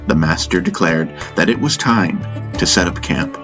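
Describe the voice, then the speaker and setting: A man's voice: low-pitched, normal speed. A man reading a scripted first-person story as an audiobook narrator, with music behind him. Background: background music.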